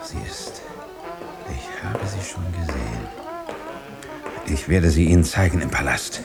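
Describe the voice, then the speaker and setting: Deep-voiced, haunted artificial man. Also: wildly swooping pitch, gravelly, over loud music, exaggerated voice. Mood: urgent, beckoning. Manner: halting, insistent, breaking off sentences. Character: hunted, engineered noise-eating man, haunted and urgent. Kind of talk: German radio drama acting.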